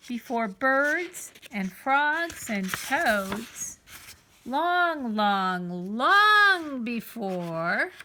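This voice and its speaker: A woman reading a children's picture book aloud in an expressive, deliberate, emphatic storytelling manner. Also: high-pitched, slight background noise.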